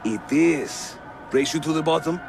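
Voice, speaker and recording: A man's voice: a little high, measured pace, gravelly, background noise. An actor playing a jovial, roguish Ottoman assassin guide in a video game, with a Turkish accent and a theatrical, teasing manner. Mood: playful, proud. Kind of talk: video game cutscene acting.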